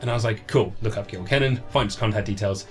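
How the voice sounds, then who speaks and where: A man's voice: lowish voice, fast, soft background music. A man chatting casually as an interview guest, telling anecdotes and acting out remembered bits of dialogue. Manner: chatty, acts out remembered dialogue in his stories.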